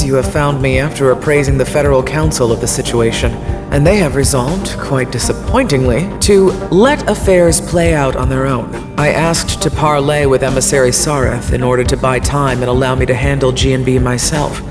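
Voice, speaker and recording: A composed commissioner of a space fleet for a sci-fi game, over clear background music. She is shrewd and authoritative, laying out terms in measured, official language. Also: very deep voice. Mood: concerned, businesslike. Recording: music under the voice.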